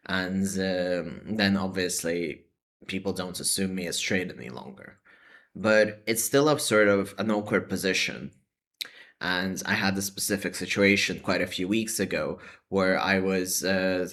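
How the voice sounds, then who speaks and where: Low-voiced young man, hesitant vlog chat. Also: a bit bright. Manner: hesitant, full of "like" and "sort of" hedges. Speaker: vlogger. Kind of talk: casual to-camera chat.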